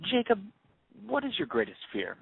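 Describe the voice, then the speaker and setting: A man acting in an audio play as a bitter grieving father turned cold avenger, accusing and sarcastic in a deliberate, commanding way. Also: high-pitched, huge pitch swings, vocal fry, husky, no room sound, full character voice. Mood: menacing, taunting. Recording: tinny phone-like band.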